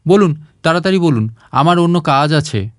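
A man's voice: on the high side, animated, moderate pace, dry recording, studio quality, lightly acted. A man voicing an impatient, busy male doctor who questions people curtly and scoldingly in a story reading.

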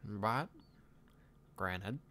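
A man playing a tender, wistful young Viking dragon trainer in a soft-spoken ASMR boyfriend roleplay, speaking intimately and haltingly. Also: fairly low pitch, full character voice. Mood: restless, tender. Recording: clear background ambient noise.

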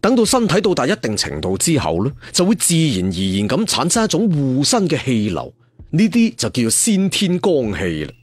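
A man telling a martial arts story in an animated, conversational, dramatic way. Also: mid-range voice, moderate pace, slightly rough, no echo. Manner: animated, conversational, with spoken sound effects.

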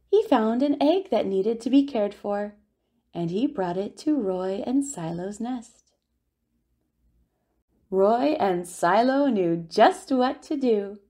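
Slow, mellow woman reading a picture book. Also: medium pitch. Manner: expressive, deliberate storytelling with drawn-out words. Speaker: children's storyteller at a family centre.

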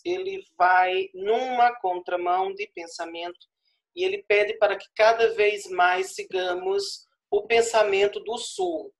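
A man in his forties speaks with a northeastern Brazilian Paraíba accent, giving a university lecture from a small room at home. He talks into an earphone headset on a video call. His delivery is expansive, with key words drawn out slowly and points closed with a questioning "certo?". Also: high voice, creaky. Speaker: guest lecturer. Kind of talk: online lecture.

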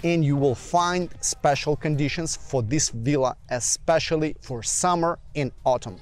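A man hosting a travel video, promoting a vacation rental with an upbeat, salesy pitch aimed straight at the viewer. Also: measured pace, music in the background. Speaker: villa rental promoter and channel host.

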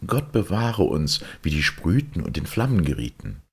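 A male fairy-tale narrator with a very low, gravelly voice. He reads expressively, with wide, animated intonation. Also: normal speed. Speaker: storyteller, audiobook reader.

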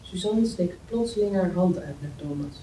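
A woman's voice: lowish voice, moderate pace, warm tone. A woman reading scripted stage directions at a table read. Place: meeting room. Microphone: far camera. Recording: roomy, distant mic, faint noise.

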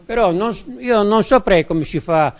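Older shopkeeper, gravelly and halting, into a handheld mic. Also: male voice, sits high, animated. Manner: halting, repeats words. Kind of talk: street vox pop answer. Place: small clothing shop. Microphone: handheld interview mic.

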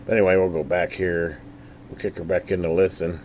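A man's voice: low-pitched, flat delivery, fairly quick, darkish tone, a little hiss or hum. A man giving a casual, unscripted product demonstration in a rambling, folksy way, thinking aloud as he goes. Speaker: scanner hobbyist giving a product overview.

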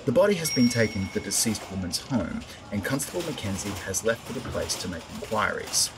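A man's voice: lowish voice, very animated, brisk. A man formally reading out an old newspaper report. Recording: clearly noisy background ambience.

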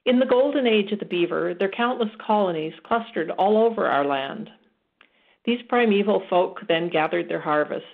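A woman's voice: mid-range voice, expressive, moderate pace, creaky. A woman reading a nature book aloud. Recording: tinny phone-like sound.

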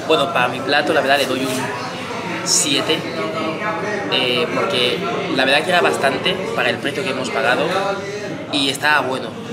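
Man, casual diner, loud crowd behind him. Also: mid-range voice, steady tone, moderate pace, long pauses. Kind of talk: casual restaurant review chat. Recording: busy crowd noise around.

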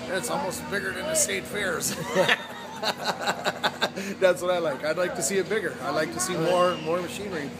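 A middle-aged man talks in a plain, rural, chuckling way, his voice slightly high. He is close to a phone camera inside a fair building, with a dense crowd loud around him. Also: moderate pace. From Minnesota. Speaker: fair visitor. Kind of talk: casual vox-pop interview. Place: indoor fair exhibit building. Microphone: phone camera at arm's length.

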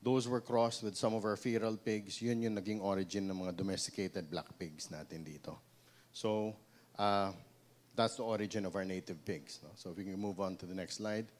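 A man in his thirties with a Filipino accent speaks into a handheld mic before a seated audience in a small seminar room. His voice sits a little low, and his talk is conversational, with restarts and a tag "no?" at the end of many points. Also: steady tone, moderate pace. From Philippines. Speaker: seminar speaker, pig breeder. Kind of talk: seminar talk mixing English and Tagalog. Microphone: handheld microphone.

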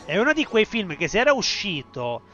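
A man's voice: high voice, huge pitch swings, fast, pushed and bright. A young man giving casual live commentary as he streams a game. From Italy. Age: young adult. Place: indoor room, game audio behind. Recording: dull band-limited sound, game music.

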